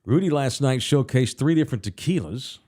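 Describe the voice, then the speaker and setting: Wry, sardonic show host. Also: male voice, mid-range voice, animated, a little fast, mellow. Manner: wry, sardonic, reads sponsor plugs and super chats. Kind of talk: casual sports talk.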